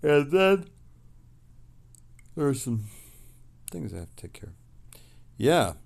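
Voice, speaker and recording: A man casually hosting a livestream, reading messages aloud and replying in a rambling, halting way that trails off. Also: medium pitch, very animated, vocal fry, a little mellow.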